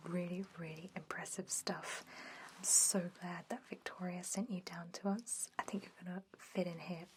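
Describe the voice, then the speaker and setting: A woman playing a friendly, quietly sinister vampire head nurse in a soft, breathy ASMR whisper. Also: fairly low pitch, fairly even intonation, a little hiss or hum, lightly acted, rough recording. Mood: friendly, briskly professional. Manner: slow, gentle, coaxing, professional patter. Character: friendly chatty female vampire head nurse, cheerfully sinister toward captives.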